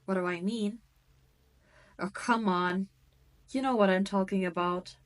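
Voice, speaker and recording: Slow, taunting demon queen, acted by a woman. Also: mid-range voice, fairly even intonation, lightly acted. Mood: gloating, mocking. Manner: teasing, condescending, drawn-out delivery. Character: cruel, arrogant adult female demon queen, mocking and possessive. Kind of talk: scripted roleplay audio drama, speaking to the listener.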